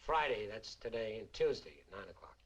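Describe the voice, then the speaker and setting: An actor playing a weary, defiant American captive in a 1950s noir film, husky and terse. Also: male voice, fairly low pitch, lively intonation, brisk, muffled recording. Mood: guarded. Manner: dry, terse.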